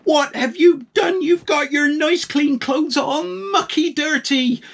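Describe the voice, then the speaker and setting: A man's voice: very high-pitched, slow, creaky, pitched above the performer's own voice, exaggerated voice. A man voicing a furious farm woman in a children's story, scolding in a wailing way. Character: furious, scolding farmer's mother or wife, adult woman.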